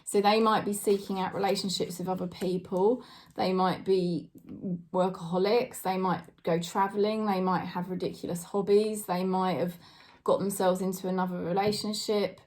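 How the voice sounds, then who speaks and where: A woman in her forties with a British accent, giving a casual tarot reading to camera and talking in a chatty, hesitant way with many fillers and soft laughs. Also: mid-range voice, level tone, moderate pace. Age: 40s. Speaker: tarot reader.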